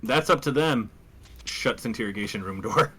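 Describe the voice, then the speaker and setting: A man's voice: mid-range voice, expressive, normal speed, a bit bright, a little hiss or hum. A man playing a tabletop roleplaying game, speaking in character and describing actions in a terse, dry way.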